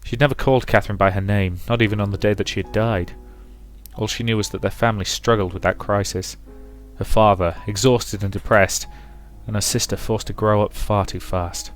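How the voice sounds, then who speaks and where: A man's voice: fairly low pitch, fairly even intonation, brisk, faint music behind. A man reading a visual novel aloud as narrator and host of a let's play.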